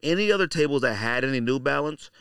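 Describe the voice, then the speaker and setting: Animated, bright-voiced man ranting on a podcast. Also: medium pitch, fast talker, smooth, dry recording, studio quality. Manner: animated ranting, slangy, repeats points for emphasis. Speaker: podcast host. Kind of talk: casual opinionated podcast monologue.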